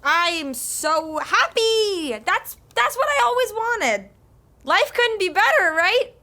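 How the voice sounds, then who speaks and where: Sitcom young woman: eager and awkward, brave cheer, high voice with lively, swooping delivery. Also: takes it slowly, heavily acted. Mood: forced cheer. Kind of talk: audio sitcom acting.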